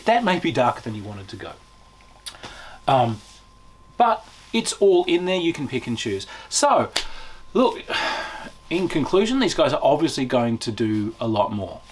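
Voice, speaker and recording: A man giving a casual, unscripted game review as a video host, talking in an enthusiastic, emphatic, conversational way with a slightly husky voice. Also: mid-range voice.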